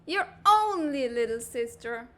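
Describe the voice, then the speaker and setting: A woman voicing a brash, hot-tempered teenage girl in a comic dub, blustery, bossy and quick to get defensive. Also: high voice, animated. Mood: flustered. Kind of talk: animated comic-dub voice acting.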